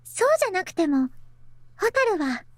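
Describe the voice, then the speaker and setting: A woman playing a soft-spoken girl in a Japanese visual novel, with cute, measured delivery. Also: very high-pitched, very animated, no echo. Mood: thoughtful, curious. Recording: very dry close studio recording.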